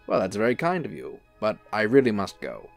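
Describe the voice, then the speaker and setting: An actor playing a genial, unflappable angel in an audio drama, reassuring and playful. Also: male voice, lowish voice, huge pitch swings, brisk, faint music behind, heavily acted. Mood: breezy, charming. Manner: smooth-mannered, reassuring, playful. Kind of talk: radio-play style drama acting.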